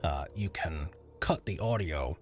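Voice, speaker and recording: Deep-voiced man chatting on a stream. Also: lively intonation, measured pace, faint music behind. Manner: rambling, chatty, answers chat while working. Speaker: streamer editing voiceover audio. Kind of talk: casual live-stream chat.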